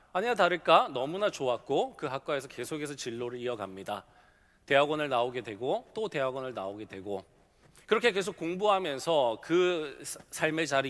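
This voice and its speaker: A middle-aged male pastor preaching a sermon, telling a story and dropping from loud to hushed. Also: mid-range voice. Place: large church sanctuary. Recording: long hall reverb.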